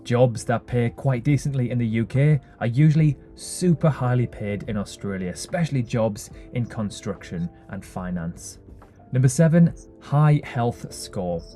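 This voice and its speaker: Brit guy reading a countdown script, measured and mellow, soft music behind. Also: medium pitch, lively intonation. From Britain. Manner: measured, list-reading delivery. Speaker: video narrator. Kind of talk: scripted narration.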